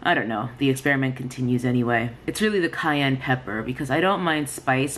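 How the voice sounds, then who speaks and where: A young woman talking candidly and casually to camera, like a vlogger, indoors at home with the camera at arm's length. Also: extremely low pitch. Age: young adult. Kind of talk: casual vlog chat to camera. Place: small home rooms, indoors. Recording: noticeable background room noise.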